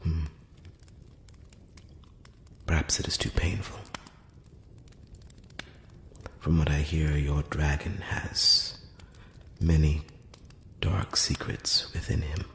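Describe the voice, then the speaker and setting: A man playing a courteous, cautious elf emissary in a close-up ASMR roleplay, speaking in a hushed, breathy, halting whisper and murmur. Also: very deep voice, fairly even intonation, full character voice. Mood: soft, solemn. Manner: hushed, halting, measured, earnest. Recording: roomy long reverb, faint noise.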